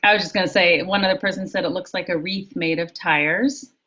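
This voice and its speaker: A woman co-presenter on a video call, reading participants' chat comments aloud one after another with short pauses. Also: on the low side, fairly even intonation, bright, forceful tone. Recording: dull lo-fi video call audio.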